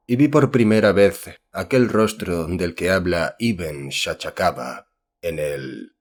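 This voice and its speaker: Secretive colonial occultist, played by a male reader, horror audiobook. Low register, archaic courtly diction, solemn and formal. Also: heavily acted. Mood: ominous. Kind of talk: dramatised letter reading in horror audiobook.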